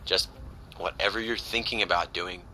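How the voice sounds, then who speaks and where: A man's voice: low-pitched, steady tone, normal speed, sharp tone, a little hiss or hum, rough recording. A young man with an American accent playing a protective, exasperated, foul-mouthed best friend in a naturalistic audio drama. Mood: subdued, concerned. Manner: blunt, profane, slangy.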